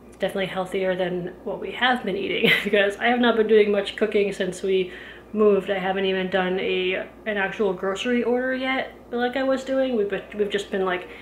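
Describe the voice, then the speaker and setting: A woman's voice: medium pitch, fairly even intonation, brisk, slight background noise. Chatty woman vlogging in an echoey kitchen. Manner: chatty, rambling with many fillers. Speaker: home vlogger. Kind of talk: casual cleaning vlog narration. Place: kitchen of a house. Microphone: handheld camera at arm's length.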